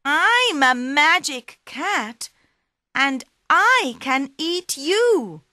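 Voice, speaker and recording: A woman voicing a clever male talking cat who coaxes and flatters in a high, excited voice. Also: very slow, no echo, studio quality, full character voice. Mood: sly.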